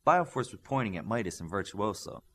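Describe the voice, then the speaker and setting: Guy reading a story out loud, audiobook narrator, very lively pitch range. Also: fairly quick.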